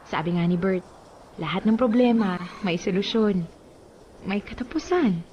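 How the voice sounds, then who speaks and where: An actress playing a gentle, guarded young widow in a Filipino radio drama, with an emotional, heartfelt delivery. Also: female voice, medium pitch, measured pace, highs cut off, slight background noise, natural voice. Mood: wistful. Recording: music behind the voice.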